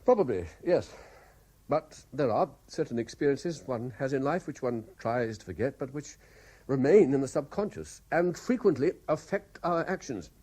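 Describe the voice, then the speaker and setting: An actor playing a haunted, reluctant private investigator in a radio drama, with a British accent and a hesitant, measured way of speaking. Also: male voice, mid-range voice, very animated, mellow. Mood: uneasy, hesitant. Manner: hesitant, stammering in talk, measured when dictating. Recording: 1970s radio drama broadcast.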